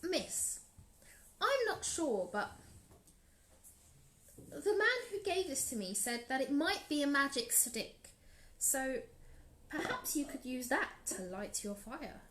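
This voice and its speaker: A woman voicing a polite young boy who offers help gently. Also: high voice, sing-song, takes it slowly, pauses often, pitched above the performer's own voice, full character voice. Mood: gentle. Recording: voice over loud guitar music.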